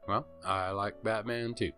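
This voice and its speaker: A man's voice: on the low side, steady tone, unhurried, pushed and bright, faint music behind. A man acting a laid-back, bossy, foul-mouthed young man in an amateur audio story. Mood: blunt.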